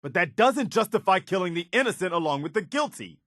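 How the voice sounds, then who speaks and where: An actor playing an earnest, weary, principled militia soldier as a video game companion, speaking in a sincere, plain-spoken, conversational way. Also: male voice, high-pitched, big pitch swings, brisk, a bit bright, dry recording, clean studio sound. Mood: indignant.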